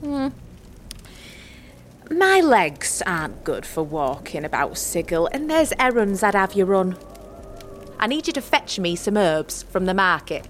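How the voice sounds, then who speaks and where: Old hedge witch: blunt, folksy, teasing, highly animated. Fantasy audio drama, faint music bed. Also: a little slow, pauses often. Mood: bossy. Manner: rustic dialect, blunt, scolding. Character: gruff old village witch woman, wary, folksy, teasing.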